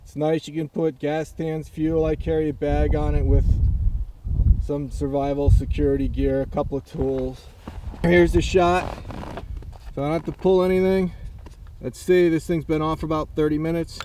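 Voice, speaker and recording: A man's voice: fairly high pitch, little pitch movement, normal speed, warm tone, slight background noise, rough recording. A man giving an enthusiastic, plainspoken review outdoors on a snowy farm, talking casually and a bit rambling. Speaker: snowmobile reviewer.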